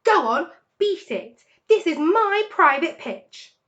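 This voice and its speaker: A woman voicing a small, sharp-faced male rat who snaps and shrieks his retorts in a children's story. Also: very high voice, sing-song, slow, lo-fi sound, pitched above the performer's own voice, full character voice. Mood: indignant, shrieking.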